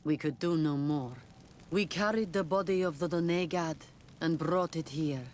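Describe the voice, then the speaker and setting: An actress playing a proud, stern island clan leader in a video game, speaking in a solemn, deliberate way. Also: female voice, sits low, darkish tone, slight background noise, close to an everyday voice. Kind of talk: video game cutscene acting.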